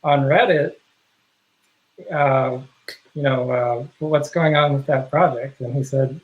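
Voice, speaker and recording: A man being interviewed on a video call, talking casually and haltingly with many fillers and restarts. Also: medium pitch, fairly even intonation, phone-quality sound. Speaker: guest, software project contributor.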